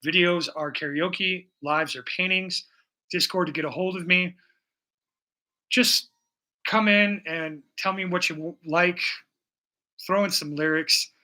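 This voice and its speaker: Bright-voiced rambling man in his forties. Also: high voice, steady tone, measured pace, long pauses. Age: 40s. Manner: rambling, profane and joking. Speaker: streamer painting live. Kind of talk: casual live-stream chat.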